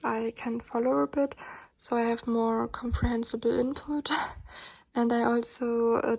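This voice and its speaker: A woman with a German accent, German being her native tongue, talks through her study routine in casual English. Her voice sits a little high and stays fairly level. She pauses often, filling gaps with hesitations and restarting her sentences. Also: creaky, darkish tone. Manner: hesitant, many fillers and restarts. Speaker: language learner vlogger. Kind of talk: casual tutorial explanation.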